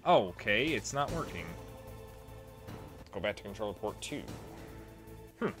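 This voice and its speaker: A man's voice: on the low side, very animated, measured pace, fairly bright tone. A man giving casual, swear-prone gameplay commentary as the host of a let's play. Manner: profane, exasperated when struggling. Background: video game music.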